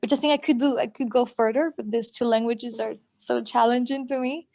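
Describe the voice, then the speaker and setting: A chatty young woman from southern Spain, speaking with a Spanish accent and a fair number of hesitant fillers. Her voice sits a bit high. The video-call sound is close and dry, with no room around it. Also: fairly even intonation, fairly quick, creaky, darkish tone, no room sound. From Jerez, Andalusia, Spain. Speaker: student guest. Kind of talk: casual video-call chat. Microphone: video call from work.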